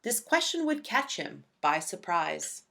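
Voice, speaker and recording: A woman narrating a rhyming children's storybook in an expressive, deliberate storytelling manner for young listeners. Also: fairly low pitch, gravelly. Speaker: children's book read-aloud narrator.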